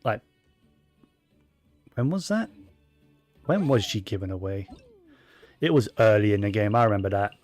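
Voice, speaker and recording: A man's voice: fairly low pitch, animated, normal speed, long pauses, a little mellow, soft background music. A man giving casual, rambling commentary while playing a video game, with plenty of fillers and restarts. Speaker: gaming streamer.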